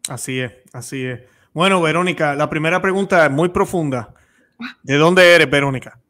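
A man's voice: a little high, normal speed, a bit bright. A man in his thirties hosting a live-stream interview, speaking in a friendly way and taking audience questions on a close studio mic. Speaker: host. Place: room at home with religious images. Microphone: studio mic close to mouth.

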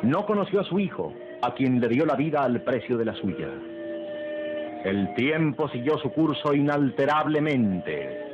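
Un hombre narra una radionovela antigua con estilo dramático, formal y grandilocuente. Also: male voice, mid-range voice, sing-song, unhurried. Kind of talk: dramatic scripted radio serial narration. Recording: muffled old radio recording. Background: loud dramatic music.

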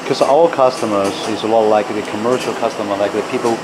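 Low-voiced man over loud factory noise. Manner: halting, searching for words in non-fluent English. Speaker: factory guide. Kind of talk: informal walk-through explanation. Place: busy electronics factory floor, indoors. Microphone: handheld camera microphone. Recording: loud machinery noise, handheld camera sound.

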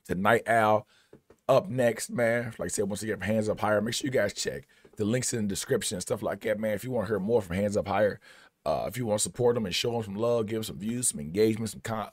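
A man in his thirties hosting a music reaction video, with a husky, strained voice from losing it over several days, talking in a laid-back, slangy way with drawn-out phrases. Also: on the low side, no echo. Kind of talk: casual reaction commentary. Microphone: headphones on, desk camera. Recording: music playing faintly behind.

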